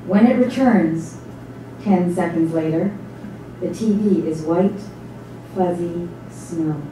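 A woman's voice: fairly low pitch, slow. A woman reading scripted dramatic narration on stage. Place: small theatre stage with audience. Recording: echoey stage recording from far off, noisy.

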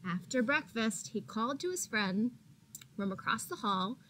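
A woman in her thirties, a teacher reading a picture book aloud to young children in a deliberate, expressive way with drawn-out words. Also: on the high side, slight background noise, poor audio. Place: small indoor classroom. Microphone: laptop or webcam at arm's length.

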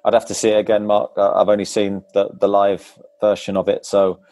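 A man in his forties, a football manager, answering post-match press questions over a video call through a wired earphone mic, measured and hesitant, often pausing with ums. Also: lowish voice, little pitch movement, dark tone, dry recording. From England. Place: club press room before a sponsor board. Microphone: wired earphone headset on a video call.

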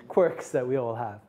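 Male moderator in an event room, mellow, casual and filler-heavy. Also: mid-range voice.

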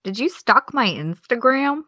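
A woman plays a defensive young woman in an audio drama, her voice fairly high and bright with lively swings in pitch. She is exasperated and snaps back with dry comebacks. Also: unhurried, dry recording, full character voice. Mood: annoyed. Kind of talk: audio drama dialogue of a video call.

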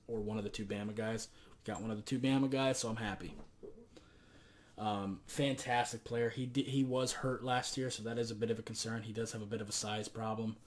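Young male sports vlogger, slightly low voice gone hoarse, rambling with fillers, webcam in a small bedroom. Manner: loose and rambling, many fillers. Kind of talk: casual football commentary.

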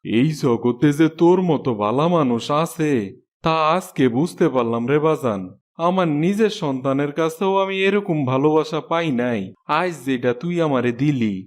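A man's voice: a little high, lively intonation, moderate pace, close to an everyday voice. A man voicing a weary, humble old jackfruit seller in a cartoon, plaintive and folksy, with a rural Bangladeshi accent. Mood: grateful. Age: older. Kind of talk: cartoon storytelling dub. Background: prominent music.

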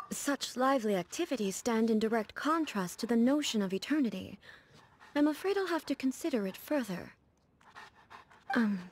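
Woman playing a serene, high-voiced warrior ruler. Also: close to an everyday voice. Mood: tranquil, gentle. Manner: formal, measured, composed. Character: serene, dignified female ruler, a warrior devoted to eternity. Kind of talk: scripted video game character dialogue.

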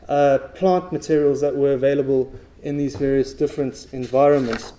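A middle-aged man giving a conference presentation from a podium in a large auditorium, speaking in a measured way with frequent uh fillers. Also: a little high, mellow. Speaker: researcher presenting at a talk. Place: stage of a large dark auditorium.

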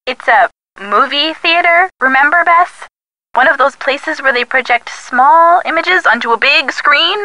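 A young female detective's sidekick in a video game. She is loyal and dryly teasing. The voice sits fairly high and is very bright and crisp in attack. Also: lively intonation, measured pace, dry recording, exaggerated voice, low-quality recording.

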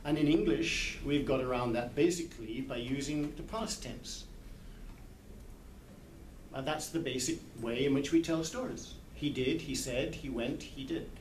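A man's voice: medium pitch, measured pace, a little mellow. Older man in an echoey room, thoughtful. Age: 60s. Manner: thoughtful, hesitant, searching for words. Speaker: literary translator. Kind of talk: informal discussion at a book club. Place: large indoor hall, small group seated in circle. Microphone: camera a few metres away. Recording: echoey, distant camera mic, background noise.

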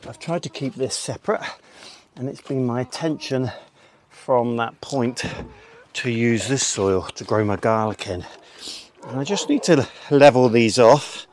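A man's voice: medium pitch, a little hiss or hum. An older man talking casually as he works on his allotment, explaining each step as he goes. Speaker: allotment gardener. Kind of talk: casual gardening vlog. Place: outdoor garden allotment. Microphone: camera mic on a tripod at a distance.

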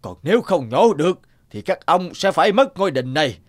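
A man's voice: on the high side, big pitch swings, a little fast, dry recording, studio quality. A man playing a shrewd, righteous old village man with magical powers in a cartoon, declaiming and admonishing. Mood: righteous, stern. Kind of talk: cartoon voice acting.

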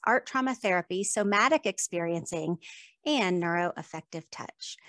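A woman's voice: mid-range voice, dry recording. Middle-aged woman reading a podcast intro. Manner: measured, reading a formal introduction. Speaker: podcast host. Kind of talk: scripted guest introduction.

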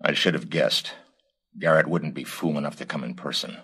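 A man's voice: very deep voice, steady tone, moderate pace, pushed and bright, breathy edge, pitched below the performer's own voice, exaggerated voice. A man reading an audiobook, voicing a scheming, coolly sinister male host with polite, sly, controlled delivery. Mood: calculating.

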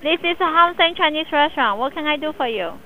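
A woman's voice: high-pitched, lively intonation, quick pace, sharp tone. A woman working the phone at a restaurant, confused and politely asking the caller to repeat himself. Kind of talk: answering a phone call. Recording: tinny phone line with faint hiss.

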